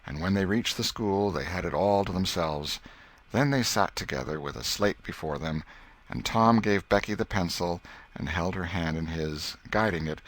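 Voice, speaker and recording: A man reading literary fiction as an audiobook narrator in a scripted storytelling style. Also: low-pitched.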